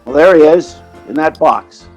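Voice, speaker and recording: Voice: mid-range voice, moderate pace, soft background music, lightly acted, rough recording. A performer playing a brash, colloquial theatrical agent who narrates and acts in an old-time radio comedy.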